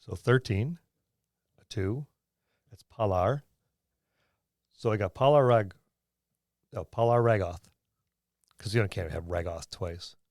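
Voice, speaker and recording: A man's voice: on the low side, takes it slowly, long pauses, darkish tone, dry recording, clean studio sound. A man hosting a casual tabletop role-playing podcast, talking in a rambling way and explaining things through examples. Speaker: podcast host and experienced game master.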